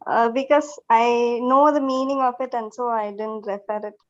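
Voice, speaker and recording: Woman in a class q&a, fairly high voice, dull muffled audio. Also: fairly even intonation, normal speed. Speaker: session participant asking questions. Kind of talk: casual question and answer.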